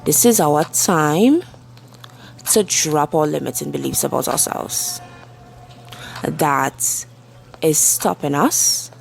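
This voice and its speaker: A woman with a low, creaky voice guides a meditation over faint music. She delivers affirmations in a soothing, deliberate way, with long pauses between phrases. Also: low-quality recording. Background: soft background music.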